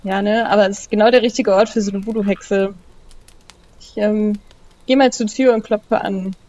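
A woman's voice: mid-range voice, fairly even intonation, moderate pace, a little mellow, lo-fi sound, a little hiss or hum. A woman in casual role-play as a game player, thinking aloud a little tentatively as she decides what to do.

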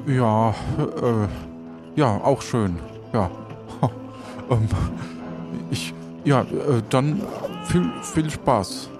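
A man's voice: mid-range voice, very slow, music in the background. A man playing an awkward, evasive pirate crewman who stammers and trails off in an improvised audio adventure.

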